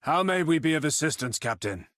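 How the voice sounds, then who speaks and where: A man playing a resolute, dignified naval high admiral in a video game, formal and commanding. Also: expressive, fairly quick, fairly bright tone, no room sound, clean studio sound. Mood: dignified resolve. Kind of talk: video game cutscene acting.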